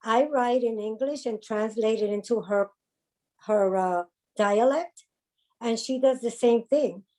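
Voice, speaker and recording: A woman from the South Bronx with a slight Spanish-influenced New York accent, speaking earnestly as an interview guest, pausing often with fillers and repeats. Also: a little high, mellow, rough recording. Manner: earnest, halting, with frequent ums and repeats. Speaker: domestic violence advocate, guest. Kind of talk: interview conversation.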